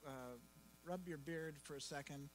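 Middle-aged man hosting a stage panel, slightly high voice, enthusiastic, handheld mic. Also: fairly even intonation, normal speed, a little hiss or hum, poor audio. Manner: enthusiastic, playful. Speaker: panel moderator. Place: indoor event stage. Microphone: handheld microphone.

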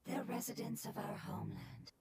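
A woman voicing a gentle, wise mushroom creature in a video game, speaking in a breathy, solemn, stilted way. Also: normal speed, close to an everyday voice. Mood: solemn wonder.

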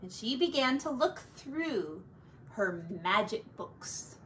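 A woman's voice: a little high, big pitch swings, slow, fairly bright tone. A woman teacher reading a storybook aloud to young children, expressively, with dramatic emphasis and little asides to her listeners. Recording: slightly distant mic, faint background noise.

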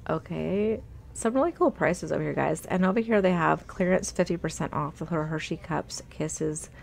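A woman narrates her store walk-through in a relaxed, upbeat chat, pointing out items and prices. Her voice sits a little low and has a gravelly, fry-laden edge. It sounds picked up on a handheld phone inside a big shop. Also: moderate pace. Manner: chatty, upbeat, reads out prices. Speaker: shopping vlogger. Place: inside a large retail store.

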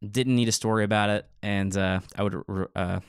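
A man's voice: on the low side, steady tone, a little fast, smooth, no room sound. Casual male podcaster, dry close sound. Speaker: podcast co-host.

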